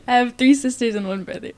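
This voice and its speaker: A woman's voice: on the high side, darkish tone, dry recording. Teen girl guest, loose and chatty, laughs easily, everyday tone. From Netherlands. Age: teens. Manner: casual, filler-heavy, laughs often. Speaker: guest sprinter. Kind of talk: casual interview chat.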